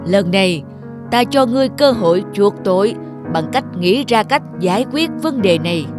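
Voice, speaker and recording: A man's voice: sits high, expressive, normal speed, music in the background. A man playing a stern old heavenly emperor in a cartoon fairy tale, formal and commanding. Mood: stern, measured. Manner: imperious, formal. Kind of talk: animated fairy tale acting.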